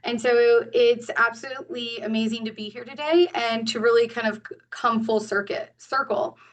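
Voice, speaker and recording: A woman's voice: fairly high pitch, steady tone, normal speed. A woman in her forties from Northern California, giving an earnest presentation at a community meeting, with frequent fillers and restarts. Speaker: guest presenter. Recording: distant from the mic.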